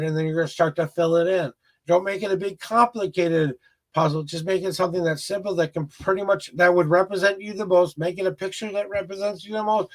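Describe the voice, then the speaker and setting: A man with a rural Minnesota Midwest accent, rambling through a casual livestream tarot reading with frequent restarts. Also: on the high side. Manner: rambling, repeats words and restarts often. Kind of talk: casual livestream chat with viewers.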